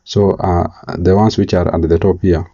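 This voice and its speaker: Very deep, flat-voiced man. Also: vocal fry, breathy edge. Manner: halting, step-by-step explaining. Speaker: tutorial presenter. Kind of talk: screen-recorded software tutorial narration. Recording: slightly dull, lo-fi mic.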